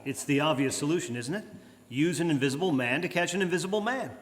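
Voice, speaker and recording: A man playing a calm, wry gentleman detective in an old-time radio drama, speaking with composure. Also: fairly high pitch, fast talker, lightly acted. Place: live performance in a church. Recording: echoey church hall.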